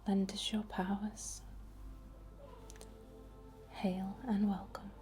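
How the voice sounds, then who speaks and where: A woman whispering a ritual ASMR incantation in a breathy, deliberate way. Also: medium pitch, level tone, warm tone, faint music behind. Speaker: ASMR witch and Reiki healer.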